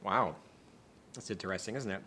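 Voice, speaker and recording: A man in his thirties co-hosting a beer tasting show with animated, informal banter. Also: low voice, moderate pace, a little hiss or hum. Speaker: beer review show co-host. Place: small indoor set with curtain backdrop, seated.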